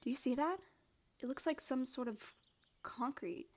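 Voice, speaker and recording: Noisy outdoor recording, teenage girl played by a woman, higher pitch, eager, observant, excitable, casual drama acting. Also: moderate pace, natural voice. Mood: startled. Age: teens or young adult. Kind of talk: naturalistic audio drama acting.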